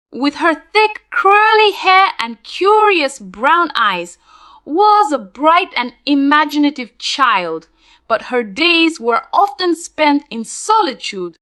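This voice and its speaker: A woman narrating a spooky scripted tale in a dramatic, deliberate storytelling manner. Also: high voice, sharp tone, no echo.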